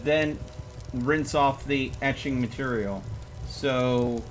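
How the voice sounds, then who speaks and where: A man's voice: mid-range voice, takes it slowly, a little hiss or hum. A man doing casual live gaming commentary as a streamer, talking himself through each step with exclamations. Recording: music behind the voice. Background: music.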